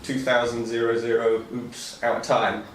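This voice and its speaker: A man's voice: mid-range voice, flat delivery, normal speed. Slightly distant, echoey man playing a tabletop game. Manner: informal, narrates his character's actions. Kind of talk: casual in-game chat. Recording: echoey, slightly distant mic, background noise.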